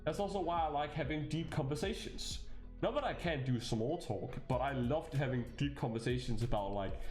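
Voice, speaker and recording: A man's voice: medium pitch, moderate pace, reverberant, soft background music. A young Danish man with a Danish accent, introducing himself as a VTuber in a chatty, joking way. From Denmark. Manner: chatty, animated, jokey with bursts of laughter. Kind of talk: casual self-introduction video.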